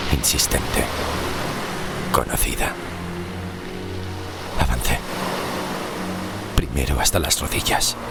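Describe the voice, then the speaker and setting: An actor playing a weary, tormented sixteenth-century shipwrecked sailor, narrating a horror audio drama in a grave, slow, literary way with a gravelly, very deep voice. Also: male voice, full character voice. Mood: grim, hushed. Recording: music under the voice.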